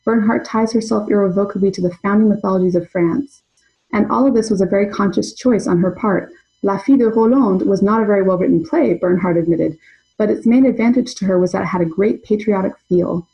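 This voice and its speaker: A young adult woman presenting an academic paper in a measured, scholarly way. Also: mid-range voice, warm tone, poor audio. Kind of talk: reading a prepared conference paper.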